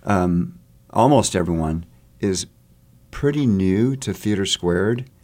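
Man, fairly low voice, theater interviewee, conversational, exploratory, frequent rephrasing. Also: expressive, slow pace, a little mellow. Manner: thinks aloud, often rephrasing mid-sentence. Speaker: theater artist interviewed about a stage production.

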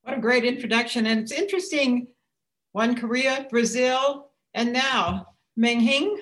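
A woman hosting a community radio program, speaking in a measured way. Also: a little high, fairly bright tone, low-quality recording. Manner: slow, measured. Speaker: radio show host.

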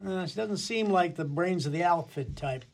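A man plays a detective sidekick in a radio play, his voice a little high. He is jaunty and wry, tossing out quips as he goes. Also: expressive, moderate pace. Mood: wry, speculating. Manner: wry quipping. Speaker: actor in a community radio play.

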